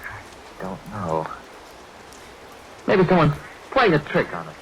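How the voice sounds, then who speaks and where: An actor playing a stubborn, skeptical husband in a vintage radio horror play, blustering and dismissive. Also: male voice, on the low side, wildly swooping pitch, takes it slowly, fairly bright tone, exaggerated voice. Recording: noisy old radio recording, rain sounds. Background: rain.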